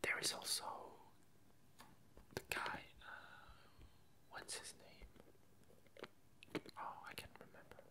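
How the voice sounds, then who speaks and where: Slow, whispering ASMR woman. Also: bright, forceful tone. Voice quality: breathy whisper. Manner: slow, halting, with long pauses. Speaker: ASMR video creator. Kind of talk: whispered ASMR casual chat.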